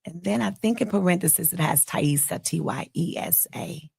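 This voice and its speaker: An American woman chats informally as a guest on a talk show. Her voice sits a little low with a strong creaky, gravelly edge. The recording is close and dry. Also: moderate pace, no room sound. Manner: self-described loud talker, informal. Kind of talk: casual chat.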